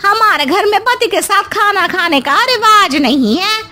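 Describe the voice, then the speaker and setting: A meddling, jealous sister-in-law from an animated family tale. Her voice is piercingly high and bright, with a rustic Hindi-belt accent. She drags out her words in slow, exaggerated, insinuating grumbles. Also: heavily acted. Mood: sly insinuation. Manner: insinuating, exaggerated, dragged-out complaining. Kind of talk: animated moral story voice acting.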